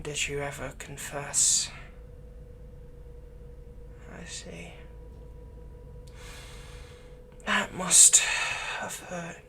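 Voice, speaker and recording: A man playing a smitten, possessive villain in an intimate ASMR roleplay, speaking softly and breathily as he comforts his captive. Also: a little high, a little hiss or hum, full character voice. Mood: soft probing. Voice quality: breathy, whispery. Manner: slow, soft, possessive, insinuating.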